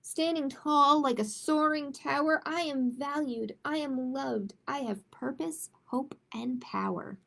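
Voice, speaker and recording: A woman hosting a children's storytime, reading a picture book aloud and chatting casually, with an expressive, emphatic delivery. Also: high-pitched, takes it slowly, creaky, hardly any pauses.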